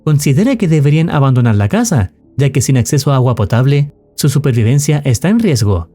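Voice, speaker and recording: A man giving scripted narration of a horror story recap in a formal, measured storytelling manner. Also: mid-range voice, very animated, mellow. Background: music.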